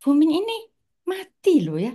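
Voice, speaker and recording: A woman in her sixties from East Java, a guest recalling her family's past in an interview, pausing often with fillers. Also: very high voice, huge pitch swings, warm tone. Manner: hesitant, many filler pauses. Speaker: guest, article author. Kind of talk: interview, personal recollection.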